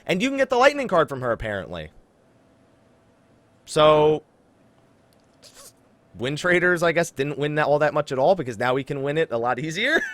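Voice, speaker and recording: Animated man hosting a gaming podcast. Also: on the high side, no echo. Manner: animated, teasing banter with co-host. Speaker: podcast host. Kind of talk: casual gaming podcast chat.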